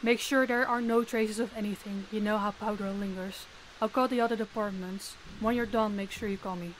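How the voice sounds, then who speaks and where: A woman's voice: mid-range voice, steady tone, a little fast, natural voice, low-quality recording. Audio drama: actress as demanding female underworld boss, mid-range voice, formal, authoritative, faint noise. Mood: urgent, commanding. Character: commanding, demanding female crime boss, coolly businesslike. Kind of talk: roleplay audio drama acting.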